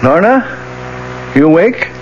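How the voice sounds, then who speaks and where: A worried older father in a vintage radio drama, with a fairly high voice that rises and falls expressively. He is solicitous and pleading, heard through a muffled old recording. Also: very animated, a little slow, background noise. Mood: concerned. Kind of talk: 1970s radio drama acting.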